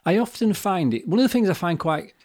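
A man's voice: high-pitched, lively intonation, fairly quick, darkish tone, dry recording. A man co-hosting a podcast, explaining his views in a fluent, chatty way with acted-out quotes. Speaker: podcast co-host. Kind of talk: casual chat. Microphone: desk microphone.

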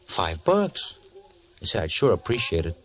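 An actor playing a gruff, easygoing male bartender, laconic and slangy, in 1950s radio drama style. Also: sits low, huge pitch swings, normal speed, exaggerated voice. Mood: offhand, coaxing. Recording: dull, noisy old radio recording.

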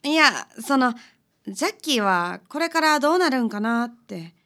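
A woman's voice: fairly high pitch, lively intonation, moderate pace, fairly bright tone. A woman playing a polite, tender-hearted detective's client with a Kansai accent in a radio drama. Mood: puzzled, hesitant. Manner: polite, hesitant.

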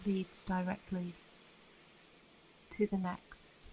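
A woman guiding a meditation in a soothing, unhurried manner with long pauses between phrases. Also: on the low side, little pitch movement, dark tone, a little hiss or hum, low-quality recording. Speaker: meditation guide. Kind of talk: guided meditation narration.

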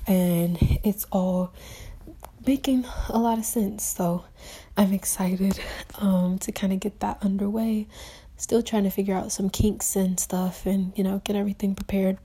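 A woman speaking in a soft, hushed half-whisper, earnestly rambling through a personal video diary and spoken prayer. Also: medium pitch, husky. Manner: earnest, rambling, with many fillers. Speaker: video diarist.

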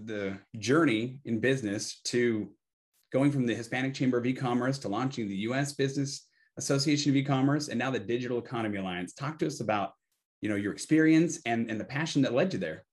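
A man's voice: medium pitch, a little fast. A man hosting an online meetup, friendly and thankful, inviting the guests to speak. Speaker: meetup host.

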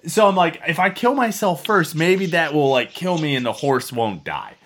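A man's voice: fairly high pitch, lively intonation, normal speed, a bit bright. A man telling a story casually as a podcast co-host, rambling and swearing freely.

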